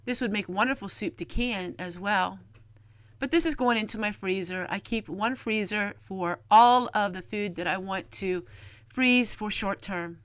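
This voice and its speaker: A woman's voice: mid-range voice, a little slow, long pauses, no room sound. A woman narrating her homemaking in a casual vlog, chatty and folksy, as if talking aloud to herself. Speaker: homesteading vlogger.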